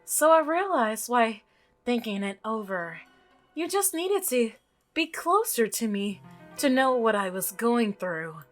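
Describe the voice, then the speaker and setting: A woman voicing an obsessive, unsettling milkman in a visual novel, soft-spoken, coaxing and falsely reasonable. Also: on the high side, sing-song, slow, faint music behind. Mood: eerily pleased.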